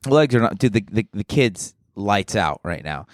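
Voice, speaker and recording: A man's voice: fairly low pitch, sing-song, moderate pace, no echo, studio quality. A man chatting casually as a comedy podcast co-host, with a slangy, crude, joking manner.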